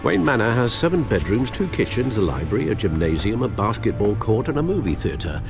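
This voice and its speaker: A man's voice: lowish voice, sing-song, a little fast, creaky, over music. An actor playing a wry, formal older butler with fatherly concern in a video game. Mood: wry, teasing.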